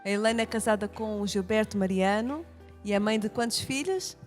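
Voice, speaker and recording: A woman's voice: mid-range voice, moderate pace, faint music behind. Woman host with European Portuguese accent. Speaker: host of a women's church programme. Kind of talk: conversational interview.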